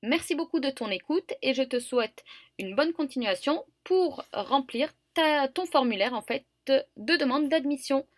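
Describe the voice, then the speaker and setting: A woman's voice: sits high, lively intonation, moderate pace, no room sound, studio quality. A young woman patiently walking viewers through an online admission form, with frequent hesitations. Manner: patient and explanatory, with frequent fillers. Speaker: student guide for university admission form. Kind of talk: screen-recorded tutorial walkthrough.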